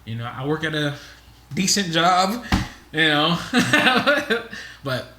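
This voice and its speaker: A man's voice: a little high, big pitch swings, slow pace, reverberant, noisy background. A man in his twenties, co-hosting a podcast in casual chat, rambling with lots of fillers. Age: 20s. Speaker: podcast co-host.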